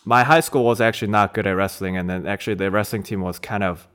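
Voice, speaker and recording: A Korean-born man in his thirties, talking as an interview guest in a relaxed, reflective way with many fillers. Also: on the low side, quick pace, clear, resonant tone. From South Korea. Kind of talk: casual conversation.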